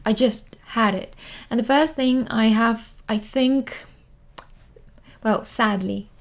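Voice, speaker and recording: A woman around thirty, not a native English speaker, giving earnest advice to camera, hesitant with many pauses and restarts. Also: fairly high pitch. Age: 30s. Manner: earnest, repeats words for emphasis. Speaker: vlogger. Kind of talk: casual advice talk to camera. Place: living in London.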